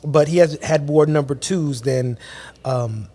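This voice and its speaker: A man from Queens hosts a relaxed podcast argument. His voice is a touch high, and he jokes and laughs while working through fillers to make his case. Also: a little slow. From Queens, New York. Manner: jokey, laughs, uses fillers while citing facts. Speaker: podcast host. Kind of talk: casual podcast debate.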